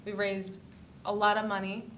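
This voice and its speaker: A teenage young woman presenting informally as a student club member, in a casual, halting way. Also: completely flat delivery, a bit bright. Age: teens. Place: indoor school board meeting room. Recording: noisy meeting room with rumble.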